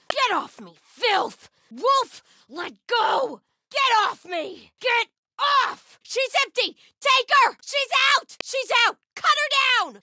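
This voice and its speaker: An actress playing a zealous, hostile cult warrior in a video game, delivering terse, intense lines in short phrases. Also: female voice, very high-pitched, pushed and bright, no room sound, studio quality, full character voice. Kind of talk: combat barks and effort lines for an action game.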